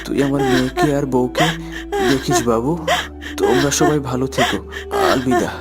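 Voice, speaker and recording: An actor playing a gentle, poor village husband and father in a cartoon, speaking earnestly and tenderly. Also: medium pitch, huge pitch swings, normal speed, over music. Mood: dying, tender. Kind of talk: Bengali cartoon voice acting.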